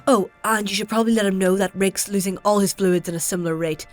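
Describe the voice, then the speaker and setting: An actress playing a clever, defiant healer with a matter-of-fact, insistent manner in a fantasy audio drama. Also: female voice, medium pitch, fairly even intonation, fast, soft background music, natural voice. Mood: brisk, concerned.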